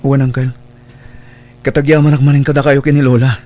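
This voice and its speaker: A man's voice: medium pitch, flat delivery, a little fast, a little mellow, close to an everyday voice. A man playing a sincere, earnest young adult graduate in a radio drama, with a Filipino accent. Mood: grateful, wistful. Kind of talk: Ilocano radio drama acting.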